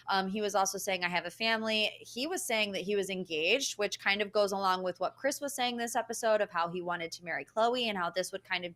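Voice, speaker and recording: A woman's voice: medium pitch, sharp tone. A woman giving an opinionated entertainment recap as a panelist, talking in an emphatic, earnest, gushing way.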